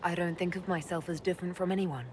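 Woman acting low-voiced, composed fantasy game heroine. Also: fairly even intonation, quick pace, a little mellow, a little hiss or hum, lightly acted. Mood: composed, questioning. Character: composed, modest human woman leading an inquisition. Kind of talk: video game dialogue acting.

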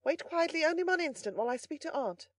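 Casting: female reader as a sweet young lady, compassionate, hushed, high and soft, storybook role. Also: lively intonation, fast, no echo, clean studio sound. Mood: gentle pleading. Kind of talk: audiobook character voice.

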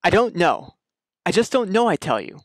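An actor playing an anxious, defensive young husband in a radio mystery, speaking in an agitated way. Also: male voice, high voice, sing-song, normal speed, no room sound. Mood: nervous, defensive. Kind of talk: retro radio mystery acting.

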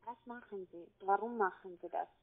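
A woman with a foreign accent speaks over a narrow, tinny phone line. She is a call-centre caller, insistent in manner, piecing sentences together in halting non-native phrasing. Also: medium pitch. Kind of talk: phone conversation.

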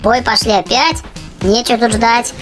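Woman, high voice, excitable casual gameplay host for kids, chatty reactions, clear music bed underneath. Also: moderate pace. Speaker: gaming channel host. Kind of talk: casual game commentary for children. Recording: game music behind the voice. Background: video game music.

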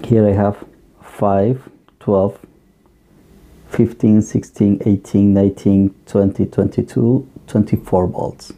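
Gravelly, dark-voiced man explaining workshop gear. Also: fairly low pitch, flat delivery. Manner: halting, plain advice with many fillers and restarts. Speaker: electronics workshop host. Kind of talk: casual hands-on equipment walkthrough. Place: electronics workbench room, indoors.

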